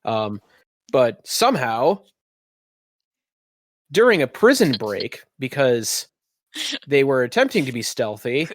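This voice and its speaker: A man runs a tabletop roleplaying game as host, describing scenes with wry asides. His voice is recorded close and dry, at a middling pitch, over faint music. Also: very animated, takes it slowly, pauses often, no room sound. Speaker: game master and podcast host.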